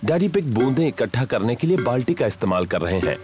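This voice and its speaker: A storyteller narrates a children's cartoon in a scripted style. The medium-pitched voice rises and falls dramatically and expressively, with music clearly playing underneath. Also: sing-song, fast. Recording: music behind the voice.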